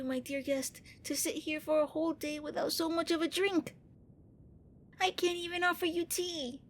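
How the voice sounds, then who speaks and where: A woman playing a gentle, bookish young vampire prince in an ASMR roleplay, speaking with hesitant, earnest courtesy. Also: high voice, a little hiss or hum. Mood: apologetic. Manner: hesitant, politely fussy, earnest.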